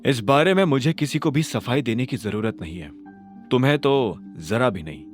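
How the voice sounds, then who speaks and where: A man playing a determined, suspicious young hero in an audio drama, speaking in a brooding, deliberate way. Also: mid-range voice, expressive, soft background music, close to an everyday voice. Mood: curt. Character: determined, suspicious young man hunting his father's killer.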